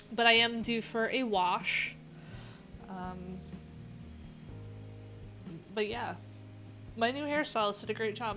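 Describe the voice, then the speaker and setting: A woman's voice: a little high, fairly bright tone. A woman in her thirties with an American accent, chatting casually as a livestream host in a rambling, wry, informal way. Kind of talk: casual livestream chat while reading docs. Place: small cluttered room indoors. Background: background music.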